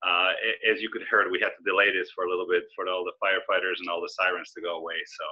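A man's voice: low voice, level tone, racing. A man in his forties, originally from Serbia, answering interview questions in an easygoing, explanatory way over a video call. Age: 40s. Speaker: guest. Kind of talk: casual interview. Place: indoor room with brick wall. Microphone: video call webcam. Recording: slightly lo-fi video call audio.

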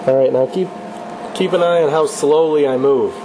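A man's voice: on the high side, moderate pace, background noise. Man, 30s, joking, offhand, instructional, home garage, camera mic, humming background. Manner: joking, offhand, self-deprecating. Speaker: channel host teaching welding. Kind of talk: casual instructional chat. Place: home garage workshop, indoors.

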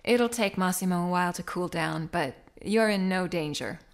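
An actress playing a friendly, confident rebel officer, casual and reassuring, in an audio drama. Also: female voice, fairly low pitch, moderate pace, close to an everyday voice. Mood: easy reassurance.

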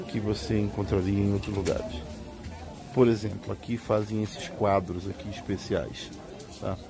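A middle-aged Brazilian man vlogging casually on a handheld phone as he walks through a large indoor market. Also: lowish voice. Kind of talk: casual walking tour narration. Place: large indoor swap meet. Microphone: handheld phone camera. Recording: busy indoor market crowd behind.

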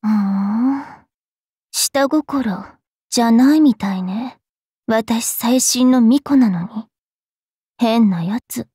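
A woman voicing a bratty, cruel young fairy witch in an anime game, haughty and taunting with a rough tongue. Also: on the high side, slow pace, pauses often, dark tone, dry recording, studio quality, full character voice. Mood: aloof, prickly. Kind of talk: Japanese anime game character voice lines.

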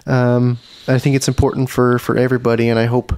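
A man in his thirties hosting a podcast interview, gentle and filler-heavy, at a boom-arm mic in a small studio room. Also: flat delivery, fast. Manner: gentle, with frequent ums. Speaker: podcast host.

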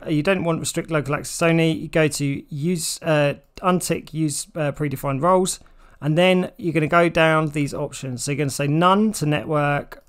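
A man's voice: a little high, fairly bright tone, clean tone. A man in his forties with an English accent, presenting an informal, step-by-step tech tutorial in a plain-spoken way. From United Kingdom. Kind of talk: instructional walkthrough, informal explanation.

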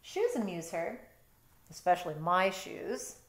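A woman's voice: on the low side, big pitch swings, a little slow. A middle-aged woman reading a picture book aloud and adding chatty, explanatory asides as she goes. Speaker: storytime reader, teacher. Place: bedroom at home. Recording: roomy indoor echo.

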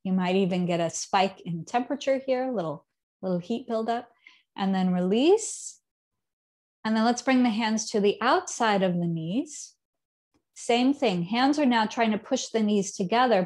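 A woman in her thirties leads a seated yoga practice at a middle pitch, her cues calm and unhurried. Her phrases often drift softly down at the ends. She sounds a little distant, as if caught by a camera across a living room. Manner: calm, unhurried cueing with soft trailing endings. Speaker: yoga teacher. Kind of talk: guided yoga instruction.

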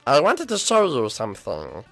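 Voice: medium pitch, huge pitch swings, normal speed, gravelly, a bit bright, faint music behind, exaggerated voice. Hesitant pleading young prince, voiced by a performer. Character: young male turtle-dragon prince, the villain's son.

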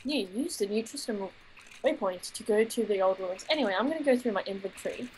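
A woman's voice: medium pitch, animated, brisk, a little mellow, a little hiss or hum, rough recording. Chatty young woman thinking aloud while gaming. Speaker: co-host gaming with friend. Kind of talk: casual co-op gameplay chat.

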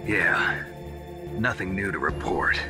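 Game role: stoic, gruff male soldier, deep and deadpan, gravel in the voice. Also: slow, over music. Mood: weary. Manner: terse, deadpan. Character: gruff, stoic captured soldier, world-weary man. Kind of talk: dramatic video game acting over radio calls.

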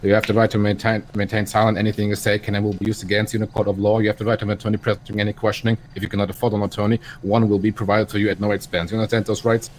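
A man's voice: fairly low pitch, little pitch movement, racing, few pauses, slight background noise, poor audio. A man role-playing a police officer in an online game, talking in informal, procedural street-cop style.

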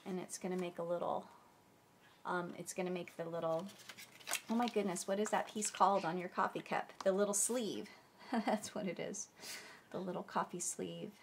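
A woman's voice: on the low side, steady tone, normal speed, gravelly, slight background noise. A woman hosting a craft tutorial, chatty and a bit stuffy from a cold, explaining step by step at her table. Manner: chatty, friendly, searches for words. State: stuffy, under the weather. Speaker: crafting channel host. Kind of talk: casual tutorial demonstration.

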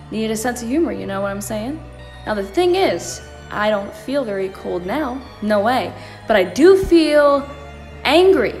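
A woman's voice: a little high, darkish tone. A woman playing a cheeky, flippant alien mad scientist in a fan audio drama, with a sassy, sarcastic, casual delivery. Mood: sardonic, angry. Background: faint music.

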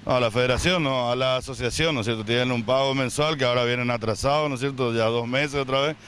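An older man, a fire chief, speaks into a handheld mic outdoors at a middling pitch, rambling and adding tag questions. Faint outdoor noise sits behind him. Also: steady tone, quick pace, a bit bright. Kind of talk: on-the-spot news interview. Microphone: handheld reporter mic.

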